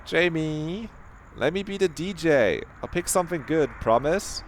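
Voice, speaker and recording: A man voicing a nervous, flustered teenage girl in a visual novel, in a high put-on voice. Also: animated, unhurried, slight background noise. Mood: eager.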